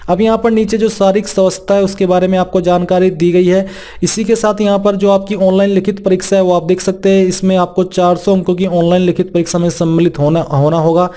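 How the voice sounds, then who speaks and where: High-pitched man explaining. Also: little pitch movement, fairly quick, warm tone. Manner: explanatory, reads out formal text then restates it plainly. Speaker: presenter of a job vacancy explainer. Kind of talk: informal instructional walkthrough of an official notice.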